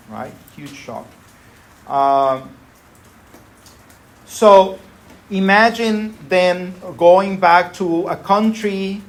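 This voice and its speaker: A man's voice: a little high, slight background noise. A man giving a university lecture in a conversational way, often checking in with his listeners. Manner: conversational, frequent tag "right?".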